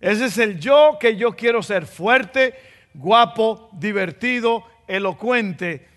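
A man's voice: sits high, lively intonation, takes it slowly, a bit bright, in a large hall. A man with a Dominican accent preaching a sermon, playful and joking, often repeating phrases for emphasis. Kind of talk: sermon with jokes.

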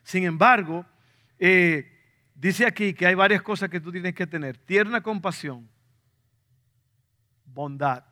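A man's voice: high-pitched, takes it slowly, pauses often, bright, forceful tone. A man with a Dominican Spanish accent, preaching a sermon to a congregation, repeating key points and putting questions to them. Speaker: pastor.

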